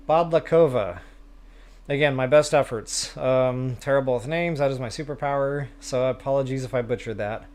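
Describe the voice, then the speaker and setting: A man's voice: on the high side, a little mellow. A man hosting a game livestream, chatting casually with viewers in a self-deprecating way. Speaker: live stream host, gamer.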